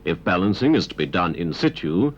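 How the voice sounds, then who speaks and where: Low-voiced British man narrating, husky and lively. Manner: measured, precise, instructive. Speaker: training film narrator. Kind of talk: scripted instructional narration. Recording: dull 1970s videocassette transfer. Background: 1970s training film soundtrack.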